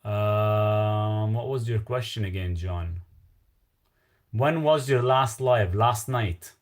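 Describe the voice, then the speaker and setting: A man's voice: on the low side, slow pace. A man with a Lebanese accent hosting a casual live stream, reading viewer questions aloud and answering in a loose, rambling way. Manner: loose and rambling, trailing off with fillers.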